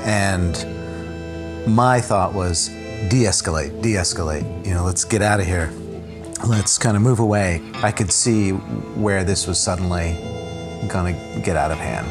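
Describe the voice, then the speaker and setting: A man telling the story of his own kidnapping in a conversation, halting and repeating himself with many fillers as he thinks aloud. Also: deep voice, over music. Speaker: interview guest recounting a kidnapping.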